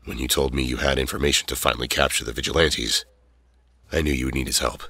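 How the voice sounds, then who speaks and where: A man's voice: extremely low pitch, steady tone, fast talker, bright, forceful tone, husky, clean studio sound, exaggerated voice. A man playing a grave, decisive leader, commanding and terse, in an audio drama with long reverb. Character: decisive, grave male leader giving orders.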